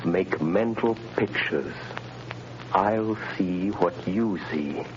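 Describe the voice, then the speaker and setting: A man playing a mysterious invisible crime fighter, speaking in measured, authoritative commands in 1930s radio drama style. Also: deep voice, highs cut off. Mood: commanding. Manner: measured, hypnotic, authoritative instructions. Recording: scratchy, hissy 1930s radio broadcast.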